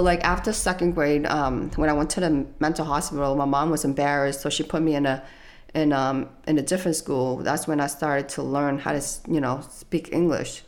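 A young woman with a low, soft voice tells her story in an interview. She talks hesitantly and pauses often with fillers. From United States. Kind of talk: personal life story interview.

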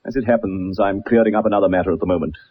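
An actor playing a keen, self-assured gentleman detective with precise, formal speech in a 1960s radio drama. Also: sits low, racing, husky. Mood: brisk, assured. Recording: muffled old radio recording.

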